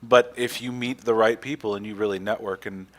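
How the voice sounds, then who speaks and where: A man's voice: on the low side, steady tone, a little fast. A man hosting a casual interview, making jokes and asking long, rambling questions. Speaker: show host.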